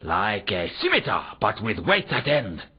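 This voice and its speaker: A man's voice: fairly low pitch, huge pitch swings, measured pace, husky, full character voice. A man voicing an eager foreign archaeology worker in a comic video game, speaking in stilted, garbled word order with pompous long words. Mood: earnest, eager. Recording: dull, lo-fi game audio.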